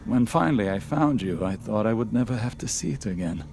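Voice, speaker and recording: A man's voice: lowish voice, lively intonation, brisk, vocal fry. An actor playing a grieving, devoted man in a video game, emotional and pleading with his beloved. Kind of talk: dramatic video game acting. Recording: music behind the voice.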